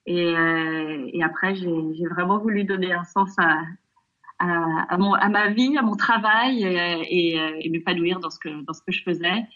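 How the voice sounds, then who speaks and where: A woman in her forties giving conversational interview answers over an internet call, pausing often mid-phrase. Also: fairly low pitch, steady tone, a bit bright, lo-fi sound. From France, raised in Nigeria. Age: 40s. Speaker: interview guest, family business executive. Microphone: video call.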